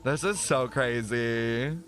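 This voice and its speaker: A man streaming his reaction to a game, excitable and campy, swearing freely and saying "like" constantly. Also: medium pitch, slow, soft background music. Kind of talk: casual reaction commentary.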